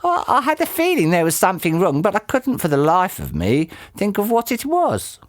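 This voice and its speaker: A reader voicing a frightened, gullible old woman in a storybook, with a shrill, panicky squeal. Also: wildly swooping pitch, normal speed, dry recording, studio quality. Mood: alarmed. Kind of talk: storybook character voice.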